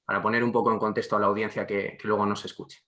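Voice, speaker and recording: Bright-voiced male interviewer. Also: fairly low pitch, little pitch movement, racing. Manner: conversational, with small restarts. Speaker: podcast interviewer. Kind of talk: casual interview questions.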